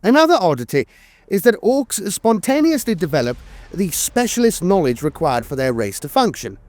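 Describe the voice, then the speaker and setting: A man reading scripted fantasy war lore as a narrator, in a theatrical, deliberate manner with pauses and hesitant filler sounds. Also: high-pitched, big pitch swings, a little mellow, no echo. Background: music.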